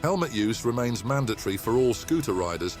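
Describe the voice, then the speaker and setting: A man presenting a weekly local news bulletin in a measured, even newsreader delivery. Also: medium pitch, faint music behind. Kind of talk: scripted news narration.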